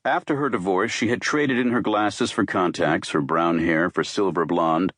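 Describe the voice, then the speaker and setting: A man's voice: on the low side, fairly bright tone, dry recording, clean studio sound. A man reading prose from a novel in audiobook style.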